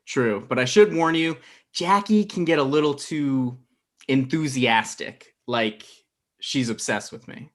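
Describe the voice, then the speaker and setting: A man's voice: mid-range voice, a little slow, lightly acted. A young man playing a dry, defensive thirty-year-old boyfriend in a comedy table read on a video call. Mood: earnest. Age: young adult. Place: indoor room on a video call.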